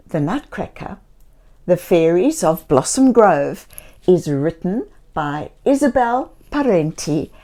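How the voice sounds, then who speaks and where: Lady doing a kids read-aloud, really slow and mellow, gentle but theatrical, talks right to the little ones. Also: lowish voice. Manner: gentle, theatrical, talking directly to young listeners. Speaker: children's story reader and host. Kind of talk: expressive children's read-aloud storytelling.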